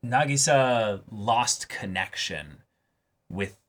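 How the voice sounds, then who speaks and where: Deep-voiced man talking through ideas. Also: expressive, drawn-out words. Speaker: co-host of an anime reaction show. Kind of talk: casual analytical discussion.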